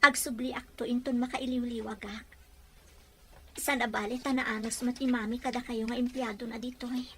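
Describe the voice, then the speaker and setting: A woman playing a gentle, heartbroken but resolute young woman leaving home in an audio drama, speaking earnestly. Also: fairly high pitch, flat delivery, moderate pace, natural voice. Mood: sad, resigned. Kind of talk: Ilocano audio drama acting.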